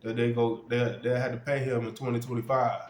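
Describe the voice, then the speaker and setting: A man's voice: medium pitch, flat delivery, a little fast, a little mellow. A man with a cold talks football on his fan channel, slangy and loose, cursing freely as he goes. The room rings with echo, and faint music sits underneath. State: sniffly with a cold. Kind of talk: casual sports commentary. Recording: roomy sound with faint music.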